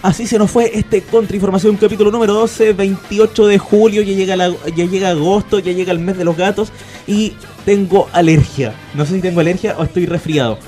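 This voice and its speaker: High-pitched Chilean man hosting over music. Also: fairly even intonation, fairly quick, mellow. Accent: Chilean Spanish. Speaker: radio show host. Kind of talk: casual radio hosting.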